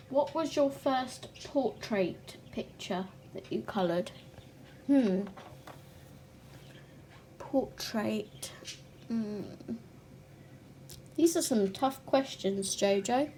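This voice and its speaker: A young woman hosting a colouring channel, chatting casually to camera in a croaky, short-of-breath voice, pausing now and then to clear her throat. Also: a little high, expressive, warm tone, a little hiss or hum.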